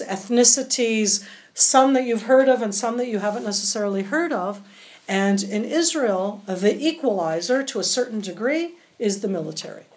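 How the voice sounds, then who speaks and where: American woman in her fifties, retired officer lecturing, brisk and explanatory, mid-pitched. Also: a little mellow. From United States, California. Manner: brisk, explanatory, with many asides. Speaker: guest lecturer. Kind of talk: public lecture.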